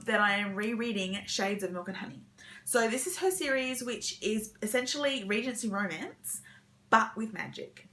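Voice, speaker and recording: Woman book vlogger, young adult, medium-pitched and bright, chatty and meandering delivery. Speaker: book vlogger sharing weekend reading plans. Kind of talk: casual rambling chat to camera.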